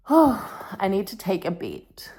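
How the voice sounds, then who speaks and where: A woman's voice: medium pitch, wildly swooping pitch, drawn-out words, dark tone, husky. A young woman vlogging in a casual, confessional way, with a melodramatic, wry and self-mocking manner. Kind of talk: casual confessional vlog with dramatic book reading.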